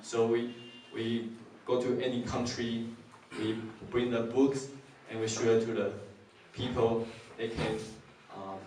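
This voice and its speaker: A man in his twenties from Taiwan, a ship crew volunteer, giving a halting presentation to a church. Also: mid-range voice, level tone, darkish tone. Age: 20s. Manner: halting, searching for words, simple phrasing. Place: church hall. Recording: echoey hall, mic far off, faint noise.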